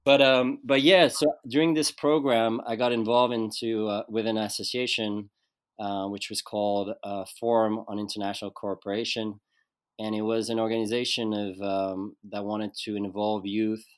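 A man from Switzerland sits as a guest on a casual interview, talking about his life in a low, unhurried voice. He pauses to find his words and speaks thoughtfully. The sound is close and dry, with no room around it. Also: little pitch movement, clear, resonant tone, no room sound. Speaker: guest actor.

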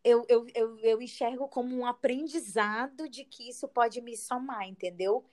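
A woman's voice: fairly high pitch, fairly even intonation, moderate pace, a little mellow. A woman from Belém, a podcast co-host who reflects aloud and explains ideas with examples. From Belém, Brazil. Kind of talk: casual podcast conversation.

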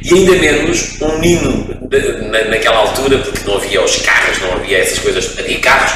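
A middle-aged radio man with a European Portuguese accent tells long stories in a relaxed interview, pausing often to gather his thoughts. His voice bounces around a very echoey room and sounds set back from the microphone. A clear hum of background noise sits under his speech. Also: medium pitch, distant mic. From France. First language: French. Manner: expansive storyteller, frequent hesitations. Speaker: radio broadcaster, interview guest. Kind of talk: casual interview, reminiscing.

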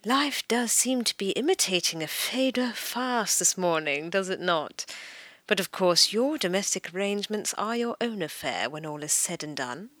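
Woman acting a haughty, bright-voiced English society lady. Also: mid-range voice, expressive, moderate pace, dry recording, lightly acted. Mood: coolly indignant. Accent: upper-class English. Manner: clipped, condescending, formal. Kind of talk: full-cast audio drama acting.

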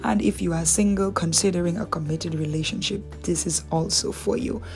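A woman's voice: lowish voice, fairly even intonation, moderate pace, darkish tone, faint music behind. Woman with a Dominican accent teaching scripture. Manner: conversational, asks rhetorical questions, playful asides. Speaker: Bible teacher. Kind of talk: relaxed devotional teaching.

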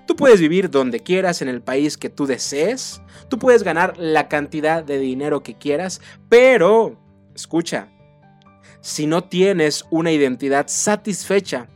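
A Mexican man hosting a motivational podcast, speaking earnestly and asking the listener rhetorical questions. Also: on the high side. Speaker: podcast host.

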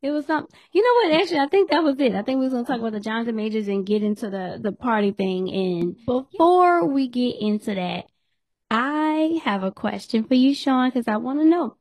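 A woman hosting a podcast in a casual chat, losing her voice and hoarse, teasing and laughing often. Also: a little high, expressive, fairly quick, darkish tone, no room sound. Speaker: podcast host.

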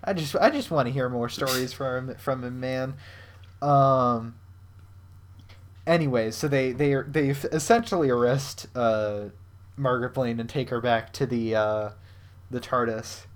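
Male co-host, mid-pitched, loose rambling delivery with casual profanity. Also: a little slow. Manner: rambling, swears freely, frequent fillers. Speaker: podcast co-host. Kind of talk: casual chat.

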